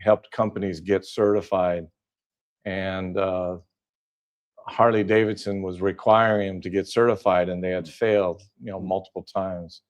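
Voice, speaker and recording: An older man with a fairly low voice talks as a guest in a relaxed interview. He is from Southern California, and he pauses often with "uh" and restarts his sentences as he goes. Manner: hesitant, many fillers and restarts. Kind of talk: casual interview chat.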